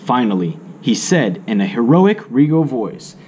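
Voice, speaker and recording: A man reading a children's story aloud with measured, theatrical expression. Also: mid-range voice, big pitch swings, mellow, slight background noise.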